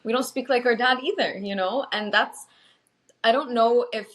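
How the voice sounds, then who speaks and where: A woman's voice: a little high, moderate pace, fairly bright tone. Chatty woman co-hosting over a video call. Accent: light American-tinged English. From Lebanon. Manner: chatty, fillers and restarts, laughs often. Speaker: podcast co-host. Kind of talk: casual chat. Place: home, in the US.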